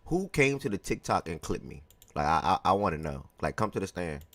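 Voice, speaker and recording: Deep-voiced man hosting a casual live sports show. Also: brisk, no room sound. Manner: chatty, greets chat viewers by name, relaxed and rambling. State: slightly hoarse from yelling the day before.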